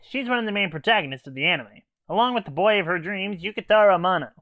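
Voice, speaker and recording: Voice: medium pitch, expressive, fast talker, no room sound, narrow, tinny audio. Reviewer doing scripted anime banter, close dry sound.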